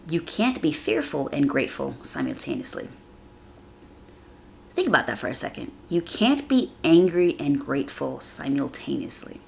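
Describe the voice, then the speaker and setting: Female podcast host, deep register with gravelly fry, a bit congested from a chest cold; earnest, informal motivational monologue. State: slightly raspy from a chest cold.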